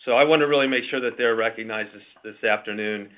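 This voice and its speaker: A man's voice: fairly low pitch, fast. A man speaking as a company executive, giving formal remarks on a conference call. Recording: dull conference call audio.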